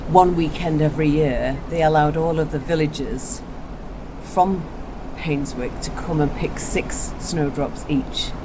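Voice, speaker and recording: A woman's voice: deep voice, measured pace. A woman giving an informal guided tour as she walks outdoors. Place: outdoors, walking in a garden. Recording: outdoor field recording with ambient noise. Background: outdoor ambience.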